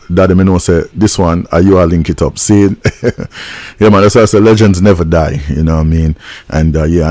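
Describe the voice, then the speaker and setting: A man's voice: low voice, darkish tone, dry recording. A middle-aged man from Jamaica, hosting a music reaction and telling stories in a laid-back, reflective way. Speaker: host of a music reaction channel. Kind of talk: casual reaction and storytelling.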